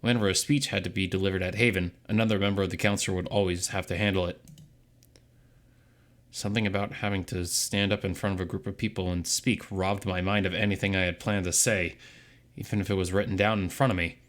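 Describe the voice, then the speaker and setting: A man's voice: deep voice, steady tone, fast. A man reading dramatic first-person story narration from a visual novel script.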